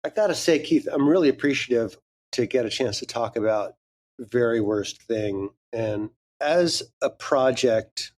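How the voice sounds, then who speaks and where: A man's voice: medium pitch, a little slow, darkish tone. A man speaking as a podcast guest, thoughtful and earnest. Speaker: guest creator. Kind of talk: interview answer.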